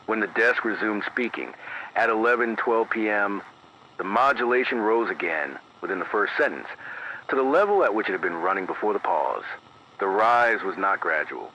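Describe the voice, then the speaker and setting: A man acts out a grave male emergency announcer in an analog horror radio play. His low voice has a crisp, forceful edge and moves widely in pitch, yet the delivery stays formal, measured and deadpan. It comes through an AM radio filter. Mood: grave, detached. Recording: muffled lo-fi radio broadcast, clear static noise.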